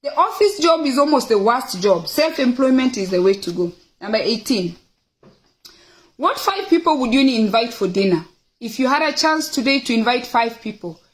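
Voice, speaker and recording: A woman's voice: a little high, poor audio. A middle-aged woman with a Kenyan accent, talking casually and emphatically to her viewers, repeating phrases for stress. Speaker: host of a personal livestream. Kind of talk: casual, opinionated talk to viewers, reading out questions.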